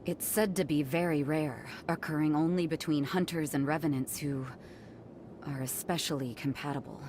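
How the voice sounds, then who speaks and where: Low-voiced resolute woman commander, acted out by a woman. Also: steady tone, normal speed, a little hiss or hum, close to an everyday voice. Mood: concerned, calm. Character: resolute, protective female hunter commander, guarded older sister. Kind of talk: dramatic video game cutscene acting. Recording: loud music behind the voice. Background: loud game music.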